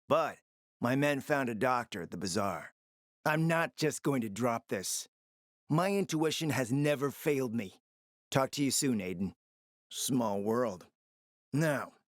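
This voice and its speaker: A man's voice: mid-range voice, dry recording, clean studio sound, natural voice. An actor playing a scheming, foul-mouthed man in a post-apocalyptic video game, confident and calculating, with an emphatic, sardonic delivery. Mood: confident, probing. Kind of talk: dramatic video game dialogue.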